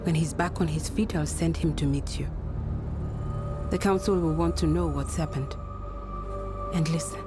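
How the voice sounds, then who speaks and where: An actress playing a steady, compassionate spirit-circle leader in a video game, speaking in a measured, grave, reassuring way. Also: female voice, low voice, close to an everyday voice. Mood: solemn, consoling. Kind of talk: dramatic video game acting. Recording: loud ominous music behind the voice.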